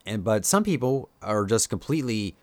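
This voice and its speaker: A man's voice: lowish voice, very animated, normal speed, no echo. A man with a Pennsylvania Dutch accent, talking casually to his viewers as a YouTuber, rambling with lots of fillers while giving his opinions. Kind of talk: casual live-stream commentary.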